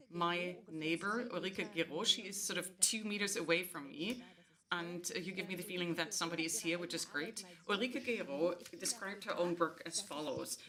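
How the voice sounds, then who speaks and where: A woman's voice: lowish voice, pushed and bright. A woman moderating a panel discussion, introducing each guest at length before opening the debate.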